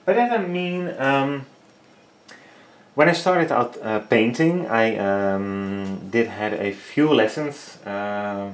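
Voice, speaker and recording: A man's voice: fairly low pitch, lively intonation, slow pace. A man in his thirties with a Dutch accent, speaking English as a third language while painting, hesitant and full of fillers. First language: Dutch and Frisian. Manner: hesitant, many fillers, searching for English words. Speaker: painting tutorial host. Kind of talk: casual studio vlog while painting. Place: small home art studio, indoors. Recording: echoey room.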